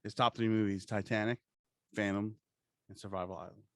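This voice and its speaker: A man's voice: lowish voice, steady tone, fairly quick, no room sound, clean studio sound. A man co-hosting a podcast, chatting casually in a profane, opinionated fan's banter. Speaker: podcast co-host. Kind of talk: casual pop-culture chat.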